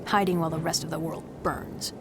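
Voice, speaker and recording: A woman's voice: sits low, steady tone, brisk, noisy background, close to an everyday voice. A woman voicing a tough, cynical adventurer in a video game, wry and blunt. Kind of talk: video game cutscene acting.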